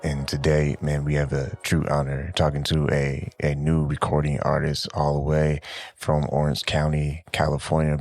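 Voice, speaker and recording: Very deep, flat-voiced male radio host. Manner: laid-back, easygoing, often says "man". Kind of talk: casual interview chat.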